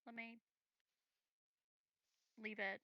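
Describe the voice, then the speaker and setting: A woman's voice: a little high, level tone, drawn-out words, bright, forceful tone, no room sound, muffled recording. A woman playing a tabletop roleplaying game, chatting casually. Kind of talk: casual chat during online game.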